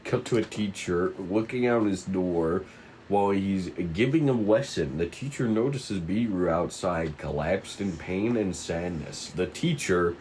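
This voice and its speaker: A man narrating stage directions at a table read, haltingly and stumbling over the script now and then. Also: low voice, darkish tone, slight background noise, low-quality recording.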